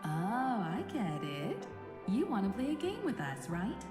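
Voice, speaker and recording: A woman playing a poised, friendly game navigator who speaks in an encouraging, gently teasing way. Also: mid-range voice, wildly swooping pitch, measured pace. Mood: playful, encouraging. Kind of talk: video game voice acting. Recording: echoey hall reverb with music behind.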